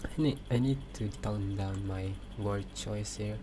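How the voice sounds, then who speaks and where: A man giving casual live gameplay commentary, halting and thinking aloud as he plays. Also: lowish voice, noisy background. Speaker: game streamer. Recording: loud game music behind voice. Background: game music.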